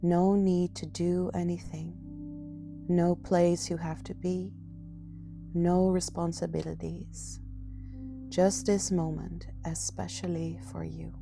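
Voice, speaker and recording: Woman, meditation guide, soft and soothing, very even low-toned delivery, music behind. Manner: soft, soothing, gentle, often breathy. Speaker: yoga nidra guide.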